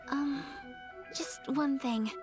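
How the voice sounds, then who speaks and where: High-voiced, demure young noblewoman. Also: steady tone, extremely slow, music in the background. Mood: gentle. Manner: formal, gentle, demure. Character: gracious, modest young noblewoman, polite and reserved. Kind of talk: video game voice acting.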